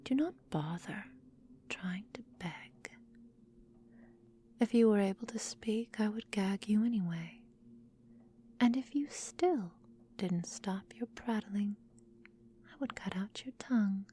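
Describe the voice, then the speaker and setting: Slow whispering female vampire, acted by a woman. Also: medium pitch, mellow, slight background noise, natural voice. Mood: menacing. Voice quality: breathy whisper. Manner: slow, teasing, menacing, drawn-out phrasing. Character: possessive, cruel, seductive female vampire mistress. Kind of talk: whispered ASMR roleplay.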